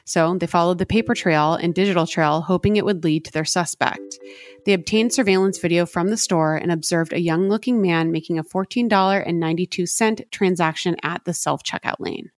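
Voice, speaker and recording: A woman co-hosting a true crime podcast, telling the case in a steady, earnest way. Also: on the low side, brisk, no echo. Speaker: podcast co-host.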